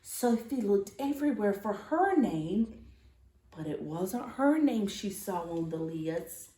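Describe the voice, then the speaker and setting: A woman teacher reading a children's storybook aloud in a dramatic, expressive way. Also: mid-range voice, slow. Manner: dramatic, expressive, with gasps and asides to listeners. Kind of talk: animated storybook read-aloud. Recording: roomy, echoey sound.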